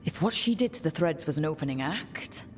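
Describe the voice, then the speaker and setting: Low-voiced, resolute heroine. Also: female voice, animated, fairly quick, in a large hall, a little hiss or hum. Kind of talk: video game cutscene acting.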